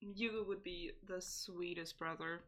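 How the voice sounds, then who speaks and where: A woman's voice: fairly low pitch, steady tone, measured pace, a crowd in the background. A woman co-hosting a podcast, chatting casually and reading listener answers aloud. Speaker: podcast co-host. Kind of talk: casual chat.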